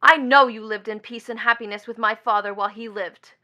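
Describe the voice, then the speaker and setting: A woman's voice: fairly high pitch, fairly even intonation, fairly quick, pushed and bright. A woman playing a bitter, mocking young stepdaughter in a stage drama, with a taunting, theatrical delivery. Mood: insistent, scornful. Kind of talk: theatrical stage play acting.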